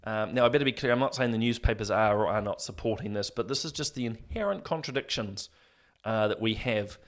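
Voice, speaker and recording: A man hosting an opinion commentary show, earnest and morally indignant, rambling with fillers and going a little hoarse. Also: on the low side, brisk. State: losing his voice. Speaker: host.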